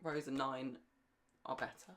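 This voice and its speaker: Low-voiced British woman in casual chat. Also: steady tone, unhurried. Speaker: podcast co-host.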